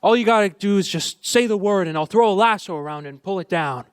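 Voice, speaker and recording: Man playing an eager, high-voiced young dreamer. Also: fast talker, smooth, dry recording, close to an everyday voice. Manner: eager, boyish, stammering. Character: restless, dreamy small-town young man, eager and ambitious. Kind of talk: live radio play acting, old-fashioned Americana.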